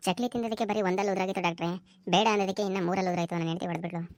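A woman's voice: mid-range voice, fairly even intonation, rapid-fire, no echo, clean studio sound, natural voice. A woman voicing a henpecked husband complaining to a doctor in a comic cartoon skit. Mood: plaintive.

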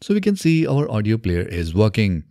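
Low, lively-pitched man presenting a tutorial. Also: normal speed, soft background music. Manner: methodical step-by-step explaining. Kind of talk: scripted software tutorial narration. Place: small indoor home studio. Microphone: close desk microphone on boom arm.

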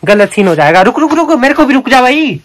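A young man filming himself on a phone for a casual challenge vlog, outdoors on a hillside and crawling into a cave, chatting excitedly and calling his friend bhai. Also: very high voice, quick pace, a little hiss or hum. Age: young adult. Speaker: vlogger. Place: outdoors on a green hillside and inside a narrow cave. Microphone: phone camera at arm's length.

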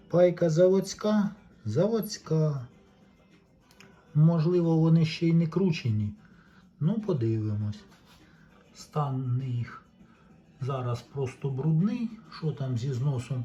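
A man's voice: mid-range voice, warm tone, dull audio. A man giving casual hands-on commentary, chatting to viewers and thinking aloud as he works. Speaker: host of a tape recorder restoration video.

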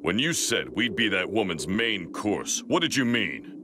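A man's voice: on the low side, sing-song, measured pace, background noise. An actor playing a stern, tough male alien warrior in an anime video game, blunt and threatening.

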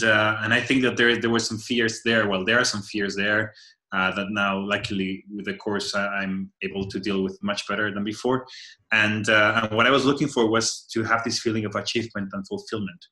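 A man in his thirties from Argentina, an engineer, speaking thoughtfully on a video call and pausing to search for words. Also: on the low side, pushed and bright. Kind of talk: casual video call chat. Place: home room by a window. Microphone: laptop webcam on a video call.

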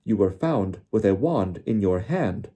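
A man's voice: lowish voice, moderate pace, warm tone, breathy edge. A man voicing a stern, officious wizard official in an audiobook, pompous and accusing as he interrogates. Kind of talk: audiobook character voice.

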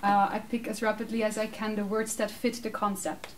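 Woman, 30s, native Dutch speaker, animated casual answers, distant mic, light background noise. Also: medium pitch, brisk. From Netherlands. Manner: animated, laughs often, talks with her hands. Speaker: speaker answering audience questions. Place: small room with curtained backdrop, seated.

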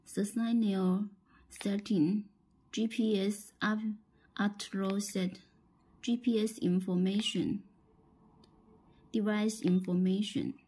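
A woman with a Chinese accent giving a halting, step-by-step instructional product demonstration, often repeating phrases. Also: mid-range voice, fairly even intonation, warm tone, husky, poor audio.

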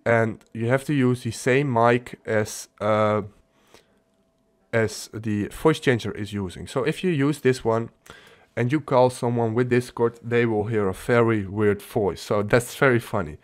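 A man narrating a casual software tutorial as its host, explaining step by step in a halting way. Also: mid-range voice.

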